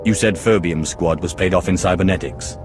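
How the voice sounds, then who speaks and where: An actor playing a cold, ruthless Sith lord who speaks in a measured, imperious way in a game cutscene. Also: male voice, deep voice, over music, close to an everyday voice. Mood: stern. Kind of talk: video game cutscene acting.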